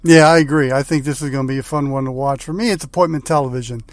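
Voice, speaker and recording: A man's voice: medium pitch, quick pace, dry recording, clean studio sound. A man hosting a sports talk show, interviewing guests in a conversational way.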